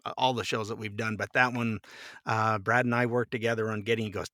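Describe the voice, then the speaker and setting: A middle-aged or older man telling stories casually as a podcast guest, chatty and quick to chuckle, acting out bits of dialogue. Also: on the low side, little pitch movement, bright, forceful tone, no room sound, clean studio sound. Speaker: podcast guest, voice actor and director. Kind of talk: casual conversational storytelling.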